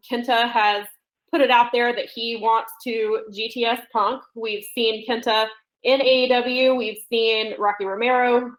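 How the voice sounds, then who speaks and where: A woman's voice: a little high, low-quality recording. A woman journalist putting questions on a media call in a conversational but prepared way. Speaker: wrestling journalist asking questions.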